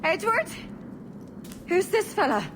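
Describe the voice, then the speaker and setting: A woman playing a distressed, tender young pirate prisoner in a cinematic video game, with halting, anguished delivery. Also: extremely high pitch, sing-song, noisy background, exaggerated voice. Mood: anxious, pained.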